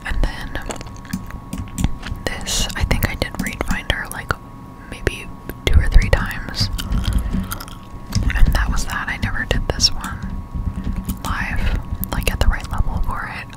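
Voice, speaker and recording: A young woman whispering casual ASMR game commentary in a breathy voice, close to the microphone. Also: low-quality recording. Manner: casual, slangy, unhurried chat. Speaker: gaming ASMR streamer. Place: indoor room at home. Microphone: close-up Blue Yeti microphone.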